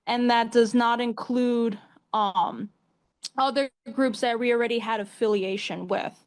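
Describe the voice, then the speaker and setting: High-voiced young American woman, emphatic. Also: steady tone, a little slow, clean tone. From New York State, US. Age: 20s. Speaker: activist guest, community organizer. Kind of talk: conversational interview answers.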